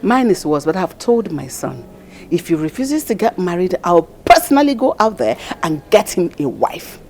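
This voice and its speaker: A woman's voice: fairly low pitch, big pitch swings, moderate pace, darkish tone, slight background noise. A woman with a Nigerian accent playing an exasperated middle-aged queen in a film drama. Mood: worried. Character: exasperated middle-aged queen worried about her unmarried son. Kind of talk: Nollywood film acting.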